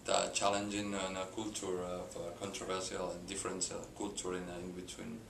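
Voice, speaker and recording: A middle-aged man from Spain with a Spanish accent, a company president answering interview questions haltingly with many filler sounds and restarts. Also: deep voice, monotone, creaky. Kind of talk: hesitant unscripted interview answers. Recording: echoey room with background hiss.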